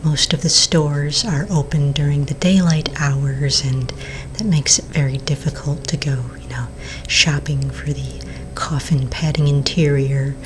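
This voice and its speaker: A woman voicing a courteous vampire real estate agent in a soft-spoken, mostly whispered ASMR roleplay, polite, unhurried and attentive. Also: low-pitched, steady tone, a little hiss or hum, poor audio. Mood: soothing, helpful.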